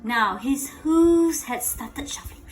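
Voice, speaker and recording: A woman with a high voice reads a bedtime story aloud, swinging widely in pitch. She takes it slowly, repeats phrases and keeps checking in with the child through simple questions. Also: animated, faint music behind. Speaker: storyteller reading a picture book to a child. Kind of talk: interactive bedtime read-aloud.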